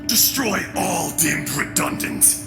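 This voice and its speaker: An actor playing a vengeful, bitter ancient dragon in a video game, with theatrical, menacing indignation. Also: male voice, high voice, expressive, slow, creaky, full character voice. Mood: bitter, scornful. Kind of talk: dramatic video game acting. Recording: loud music underneath.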